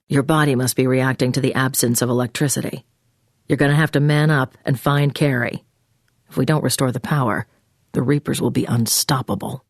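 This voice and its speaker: An actress playing a brisk, commanding intelligence agent in a video game, giving mission orders in a businesslike, clipped way. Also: female voice, extremely low pitch, darkish tone, dry recording, studio quality. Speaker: mission handler over the phone. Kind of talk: scripted video game voice acting.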